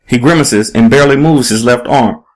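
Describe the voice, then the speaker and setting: A man's voice: medium pitch, normal speed. A man reading a scripted short story aloud as its narrator. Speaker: story reader.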